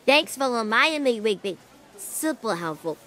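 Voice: mid-range voice, big pitch swings, moderate pace, a bit bright. A cloned voice playing a teasing cartoon friend in a fan-made audio story.

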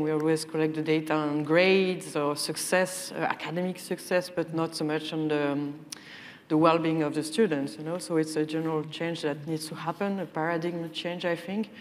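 Woman, panelist, very low voice, flat, hesitant, hall reverb, faint room hum. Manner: halting, many fillers and restarts. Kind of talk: conference panel answer. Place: large conference hall stage.

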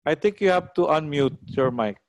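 A middle-aged man with a Filipino accent, hosting casually on a video call in a halting, repetitive way. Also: on the high side, animated, dry recording, muffled recording. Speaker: online auction host. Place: small room at home, indoors. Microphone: headset on a video call.

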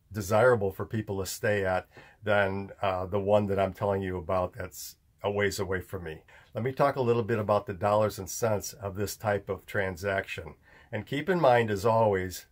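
An older man hosting a homestead vlog, explaining barn building in a plainspoken, folksy way on a lapel mic. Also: lowish voice. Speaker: homestead channel host. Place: indoor room, later inside a metal pole barn.

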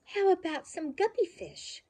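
A woman's voice: very high-pitched, big pitch swings, a little slow, dark tone, voice pushed up, full character voice. A woman voicing a patient mother dog who coaxes and questions her pup. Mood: coaxing. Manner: coaxing, questioning.

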